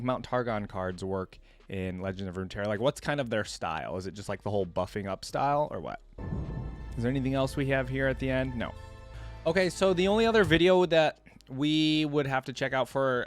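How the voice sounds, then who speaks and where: A man's voice: medium pitch, no room sound. A man giving casual reaction commentary as a video host, thinking aloud in an informal way. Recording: music playing behind.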